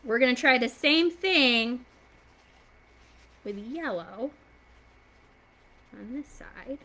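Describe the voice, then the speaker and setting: A woman with a fairly high, very bright voice leads a science activity for young children. She sounds like an excitable, encouraging story time host, speaking simply and directly to her little viewers. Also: drawn-out words.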